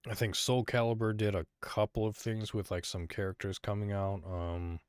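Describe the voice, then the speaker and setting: A man from Illinois hosts his own podcast alone, thinking aloud as he goes. His voice sits very low, and he drifts between thoughts with plenty of fillers and false starts. The sound is dry and close, with no room around it. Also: flat delivery, moderate pace, clean tone, dry recording. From Illinois, USA. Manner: rambling, hesitant, many fillers and restarts. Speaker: podcast host. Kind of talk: solo casual podcast monologue.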